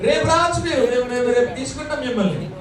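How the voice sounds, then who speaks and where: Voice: high voice, lively intonation, rapid-fire. A children's ministry host leading a live show, encouraging and prompting the children to respond. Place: church hall with children. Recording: echoey hall, distant mic, loud noisy crowd.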